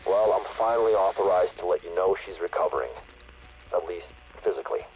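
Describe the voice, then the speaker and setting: A man's voice: sits low, brisk. A man playing a stern, earnest special operations leader briefing troops over the radio in a game. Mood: measured, exasperated. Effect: radio transmission. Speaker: commanding officer. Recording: muffled radio with faint noise.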